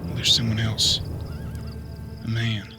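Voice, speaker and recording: An actor playing a young, inquisitive apprentice detective in a western audio drama, with a folksy, questioning manner. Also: male voice, fairly low pitch, monotone, takes it slowly, over music. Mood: puzzled, observant.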